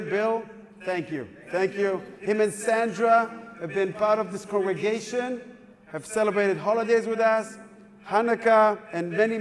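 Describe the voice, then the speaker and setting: High-pitched guy running a fundraiser, playful and pushy, echoey hall, people murmuring. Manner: coaxing, upbeat, playful. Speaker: fundraising emcee. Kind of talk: live fundraising appeal to a crowd. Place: synagogue hall at an evening event. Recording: reverberant hall with crowd.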